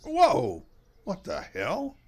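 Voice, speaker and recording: An actor playing a gruff, authoritative male police captain in an audio drama. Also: high voice, huge pitch swings, very slow, slight background noise, exaggerated voice. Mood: alarmed command.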